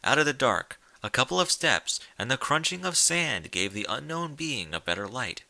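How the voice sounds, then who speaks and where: A man reading stories aloud in a theatrical, deliberate style of dramatic scripted narration. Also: mid-range voice, sing-song, creaky, bright, forceful tone, dry recording. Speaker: story reader.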